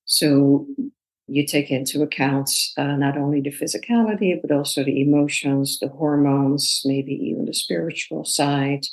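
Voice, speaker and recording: A woman in her sixties from the Netherlands, a guest talking thoughtfully and warmly in a podcast interview, with easy laughs. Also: low voice, steady tone, low-quality recording. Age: 60s. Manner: thoughtful, measured, laughs easily. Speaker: guest, personal trainer. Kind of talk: casual interview talk.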